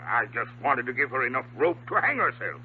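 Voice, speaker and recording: An actor playing a gruff but decent ship's captain in a 1950s radio drama. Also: male voice, medium pitch, very animated, a little fast, natural voice. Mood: apologetic. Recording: tinny, noisy 1950s radio recording.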